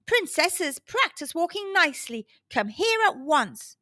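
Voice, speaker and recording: A woman voicing a stern, bossy nanny in a children's storybook, with a booming and commanding delivery. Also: very animated, measured pace, pushed and bright, dry recording, studio quality, heavily acted. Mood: scolding.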